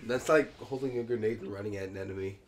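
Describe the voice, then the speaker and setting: A man's voice: on the low side, quick pace, background noise. A young man with a stuffy, congested voice, chatting dryly with a friend while they play a video game. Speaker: co-host playing a video game. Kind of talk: casual gaming chat.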